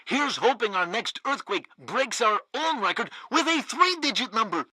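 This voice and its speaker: A man's voice: very high voice, big pitch swings, normal speed, pushed and bright, dry recording, full character voice. An actor playing a pompous, theatrical and fawning male propaganda radio news host in a satirical video game. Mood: proud.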